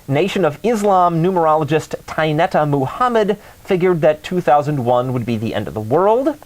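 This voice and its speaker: A man's voice: fairly high pitch, lively intonation, moderate pace. A man co-hosting a call-in talk show, speaking casually in a sardonic, mocking way.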